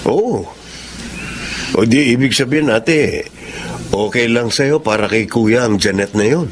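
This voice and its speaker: An actor playing a concerned, deferential younger brother in a Filipino radio drama, reasoning in a measured way, with a Filipino accent. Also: male voice, mid-range voice, expressive, a little hiss or hum, close to an everyday voice. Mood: hesitant, concerned.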